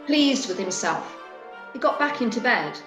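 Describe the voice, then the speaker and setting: A woman narrating a scripted story in a deliberate storytelling manner. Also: fairly high pitch, animated, music in the background. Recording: roomy echo.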